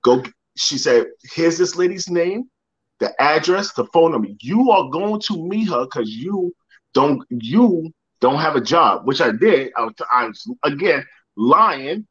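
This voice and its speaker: Animated storytelling man, lo-fi sound. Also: a little high, unhurried. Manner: animated storyteller, repeats words, acts out quoted voices. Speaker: guest, motivational speaker. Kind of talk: storytelling in a podcast interview.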